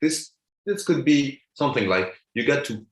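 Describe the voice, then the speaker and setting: A man's voice: medium pitch, lively intonation, normal speed. A man, chatting casually as a guest comedian, often repeating words as he searches for them. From France. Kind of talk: casual chat. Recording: roomy echo.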